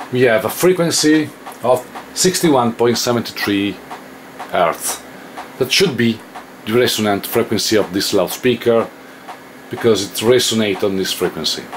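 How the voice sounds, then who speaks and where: A man with a lowish voice and non-native English talks slowly through an informal technical demonstration. He is halting, thinking aloud as he goes. There is a clear background noise in the room. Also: rough recording. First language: not English. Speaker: electronics demonstrator. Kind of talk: informal hands-on technical tutorial.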